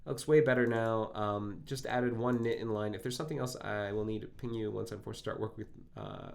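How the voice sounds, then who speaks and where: A man with a phlegmy throat from a cold hosts a live coding stream, thinking aloud in halting, self-correcting speech full of fillers. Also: fairly low pitch, steady tone, gravelly, a little hiss or hum.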